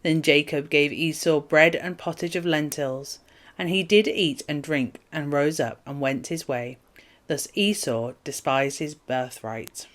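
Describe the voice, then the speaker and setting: A woman reading scripture aloud as formal narration, in measured, solemn phrases. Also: low-pitched. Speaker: Bible reader.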